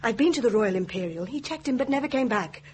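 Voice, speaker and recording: An actress playing a brisk, imperious professor's wife in a radio drama, with an English accent and a curt, demanding manner. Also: female voice, on the high side, lively intonation, a little hiss or hum, close to an everyday voice. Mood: impatient. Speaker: actor in audio drama.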